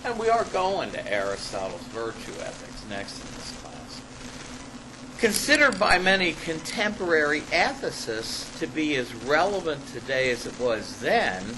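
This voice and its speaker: A man reading an academic paper aloud to a class as a lecturer, halting at times and stressing key phrases. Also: on the high side, big pitch swings. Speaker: college ethics lecturer and paper author. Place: classroom in front of students. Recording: noisy amateur classroom recording.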